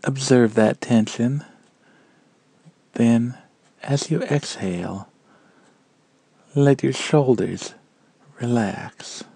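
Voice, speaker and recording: A man guiding a meditation in a calm, measured and gentle manner, leaving long pauses between phrases. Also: mid-range voice, vocal fry, breathy edge, no room sound. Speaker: meditation guide. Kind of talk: guided meditation narration.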